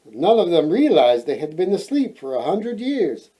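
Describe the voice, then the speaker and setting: A man reading a children's fairy tale aloud in a playful, expressive way, adding joking asides. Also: a little high, moderate pace, low-quality recording. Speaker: storyteller, host.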